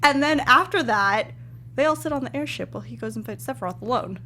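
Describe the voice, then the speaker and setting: A woman's voice: lively intonation, fast, pushed and bright. A woman co-hosting a casual review chat in an informal, playful, tongue-in-cheek way. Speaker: co-host of a movie review show. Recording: clear background noise, low rumble.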